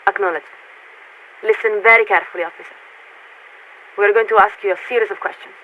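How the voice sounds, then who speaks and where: An actress playing a formal, detached warship officer who speaks for a committee in clipped, measured phrases through a radio filter in a video game. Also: female voice, mid-range voice. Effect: radio transmission filter. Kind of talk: scripted video game voice acting. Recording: tinny, narrow-band radio with static.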